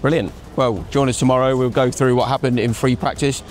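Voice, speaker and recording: A man in his thirties hosting a casual motorsport chat outdoors, asking quick questions on a lapel mic. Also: mid-range voice, fairly even intonation, a little mellow, faint music behind. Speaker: host. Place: outdoors in a racing paddock at night. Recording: faint outdoor street ambience.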